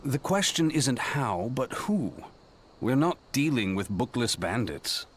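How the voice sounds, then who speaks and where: A man's voice: medium pitch, sing-song, slow, noisy background. A man playing a wry, urbane, perceptive adventurer in a fantasy game, with teasing and theatrically formal diction. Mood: wry musing. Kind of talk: fantasy game cutscene acting.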